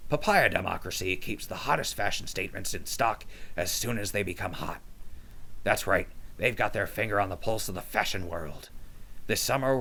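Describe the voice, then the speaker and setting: An actor playing a wry, crude post-apocalyptic radio DJ, delivering comedic patter and mock sponsor spots. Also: male voice, on the low side, gravelly, bright, forceful tone, exaggerated voice. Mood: promotional. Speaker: radio host.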